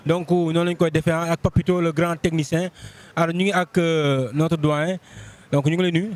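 A man with a Senegalese accent, a street reporter asking passersby quick questions on a handheld mic by a busy road. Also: a little high, little pitch movement. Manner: brisk questions with frequent hums of assent. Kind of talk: street vox pop interview. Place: busy roadside outdoors.

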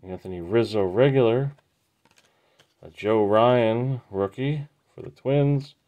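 A man hosting a trading card break, casually naming each card as he opens the packs in an unhurried, matter-of-fact way. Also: medium pitch, dark tone. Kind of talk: casual pack-opening commentary.

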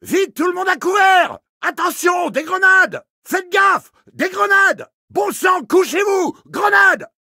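A man's voice: very high voice, expressive, slow, vocal fry, hardly any pauses, pushed and bright, no room sound, raised voice, clean studio sound, full character voice. An actor playing a fierce, battle-hardened male infantry soldier in a war game, barking urgent, aggressive short bursts. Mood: urgent alarm. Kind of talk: shouted war game combat barks.

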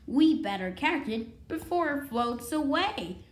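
Female read-along presenter for a picture book, lively intonation, occasional stumbles, echoey room. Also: high voice, slow. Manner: stumbles over words and restarts. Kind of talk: reading a children's picture book aloud. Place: indoor room at home with wooden floor.

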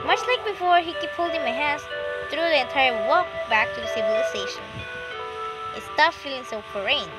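High-pitched woman narrating a game over music. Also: poor audio. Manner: expressive, dramatized reading with exclamations. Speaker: gamer reading a visual novel aloud. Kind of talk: let's play narration with excited asides. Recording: loud music behind the voice. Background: loud game music.

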